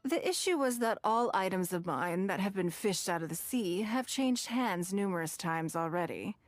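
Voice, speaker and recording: A woman playing a shrewd, wealthy, coolly commanding businesswoman in a video game, speaking in measured, eloquent, formal phrases. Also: mid-range voice, expressive, dry recording, close to an everyday voice. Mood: composed, wry.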